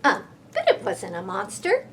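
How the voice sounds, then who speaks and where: A woman reading a picture book aloud to children, expressive and deliberate, with playful emphasis. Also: medium pitch, slight background noise. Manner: expressive, deliberate, with playful sound effects and gasps.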